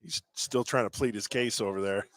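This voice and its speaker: A man's voice: fairly low pitch, normal speed. A man giving short analytical remarks as color commentator on a live radio basketball broadcast. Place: indoor high school gymnasium. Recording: crowd noise behind live broadcast. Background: gym crowd.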